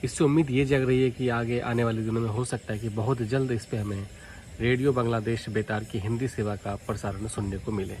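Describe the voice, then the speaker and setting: Indian guy doing a casual explainer vlog, normal pitch, repeats himself, noisy recording. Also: steady tone, a little fast, darkish tone. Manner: explanatory, repeats points, addresses viewers directly. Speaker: vlogger, shortwave radio hobbyist. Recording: clearly noisy home recording, radio static.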